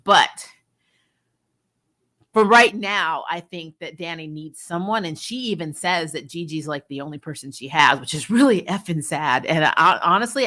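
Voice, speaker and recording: A woman hosting a casual, opinionated video review, talking candidly to viewers and retelling things in an animated, mildly sweary way. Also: sits low. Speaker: video host reviewing a TV episode.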